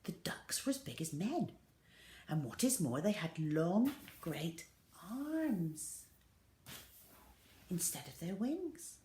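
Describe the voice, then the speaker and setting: Female storyteller for children: unhurried, highly varied intonation, roomy home recording. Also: big pitch swings, mellow. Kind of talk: expressive children's story reading.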